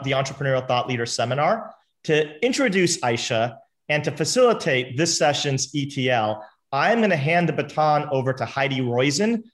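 A man's voice: mid-range voice, a bit bright. Young man reading a host introduction. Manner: scripted, with ums and restarts. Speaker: seminar host.

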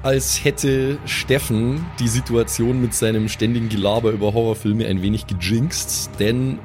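A man's voice: mid-range voice, steady tone, normal speed. A man improvising descriptive horror narration as game master, feeling out his phrases as he goes. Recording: loud music behind the voice. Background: music.

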